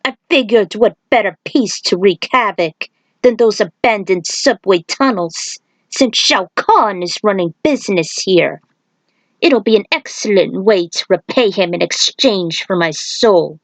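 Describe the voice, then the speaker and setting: A woman's voice: mid-range voice, measured pace, no room sound, rough recording. A woman voicing a vile, gloating male crime boss villain from a fan fiction story. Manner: gloating, menacing.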